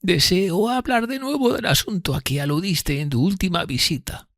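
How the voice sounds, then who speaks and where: A man voicing a frail, remorseful elderly gentlewoman in an audiobook, speaking slowly in a hesitant, timid murmur. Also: high-pitched, no room sound, studio quality, voice pushed up. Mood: timid, pleading. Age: older. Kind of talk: audiobook character reading.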